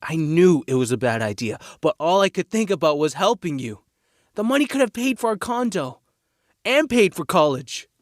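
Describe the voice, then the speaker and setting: A man's voice: fairly high pitch, sing-song, normal speed, no echo, clean studio sound. A man playing an earnest, guilt-ridden teenage son in a naturalistic audio drama. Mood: apologetic.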